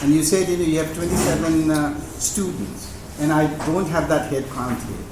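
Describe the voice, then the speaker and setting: A man giving an informal lecture as guest speaker, loud and chatty, full of "you know" fillers. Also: a little high, moderate pace, far from the mic. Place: lecture hall. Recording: echoey hall with noise.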